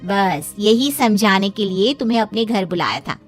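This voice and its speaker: A woman's voice: medium pitch, lively intonation, normal speed, faint music behind, natural voice. A woman voicing a principled young female friend in a cartoon story, earnest and persuasive. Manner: earnest, explaining.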